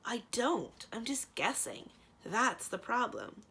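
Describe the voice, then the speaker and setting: A woman voicing a sensible, practical young storybook heroine, brisk and wry, with a matter-of-fact, decisive manner. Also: a little high, big pitch swings, vocal fry, pushed and bright, breathy edge, voice pushed up, full character voice. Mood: frustrated.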